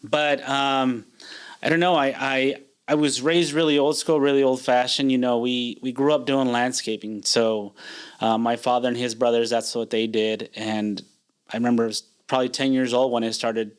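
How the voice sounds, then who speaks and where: Man, 40s, podcast guest. Easygoing, thoughtful, loose with fillers. Mid pitch. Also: moderate pace, smooth. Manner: relaxed, reflective, many fillers and restarts. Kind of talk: casual interview conversation.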